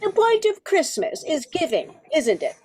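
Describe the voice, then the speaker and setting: High, prim old Christmas carol played by a woman. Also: wildly swooping pitch, normal speed, a little mellow. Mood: hopeful. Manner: theatrical, genteel, sighing. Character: wistful, prim old female Christmas carol sheet music. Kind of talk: radio play acting.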